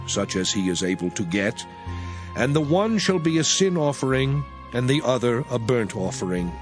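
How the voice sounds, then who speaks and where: A man reading scripture aloud in a measured, solemn recitation. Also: medium pitch. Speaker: scripture reader. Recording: faint background music.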